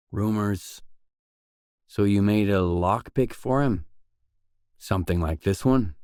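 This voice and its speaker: A man's voice: lowish voice, a little mellow, no echo, studio quality, lightly acted. A man playing a guarded, determined post-apocalyptic stalker in a video game, speaking in a terse, probing, matter-of-fact way. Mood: probing, businesslike. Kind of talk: isolated video game dialogue lines.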